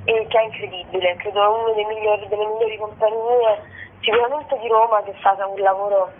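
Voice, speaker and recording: A woman's voice: mid-range voice, fairly even intonation, brisk. Woman on a tinny phone line. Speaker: theatre festival co-director being interviewed. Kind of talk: spontaneous radio interview talk. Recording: tinny, narrow telephone line on radio.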